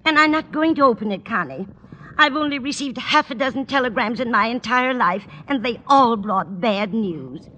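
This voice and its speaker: A woman's voice: a little high, animated, normal speed, pushed and bright, dull audio. A woman playing an anxious, melodramatic older landlady in a 1940s radio comedy. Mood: alarmed. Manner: fretful, melodramatic. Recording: old radio recording, hall reverb, faint noise.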